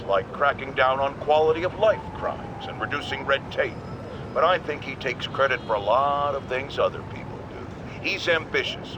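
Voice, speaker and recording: A man's voice: mid-range voice, noisy background, natural voice. An actor playing an opinionated middle-aged talk radio host who lectures his listeners. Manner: opinionated, preachy, emphatic.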